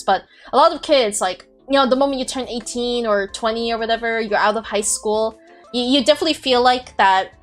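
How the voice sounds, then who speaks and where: A woman in her twenties hosting a podcast, talking in a rambling, reflective way with many fillers and restarts. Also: medium pitch. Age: 20s. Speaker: podcast host. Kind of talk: casual chat on a group call.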